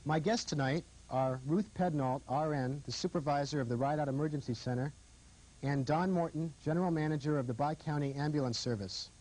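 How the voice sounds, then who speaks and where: A man's voice: a little high, fairly quick, darkish tone. A man with an American accent narrating and hosting a medical program in an explanatory style. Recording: noisy old video recording.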